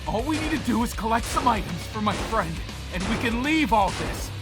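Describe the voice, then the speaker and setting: A man's voice: extremely high pitch, sing-song, normal speed. A man voicing an unhinged, paranoid survivor who hears voices, with frantic, indignant outbursts, in a dramatized zombie horror comic. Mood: pleading. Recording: loud music behind the voice.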